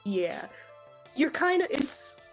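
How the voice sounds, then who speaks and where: A woman's voice: high-pitched, big pitch swings, slow pace, soft background music. A woman running a tabletop game as game master, narrating in an informal, easygoing way.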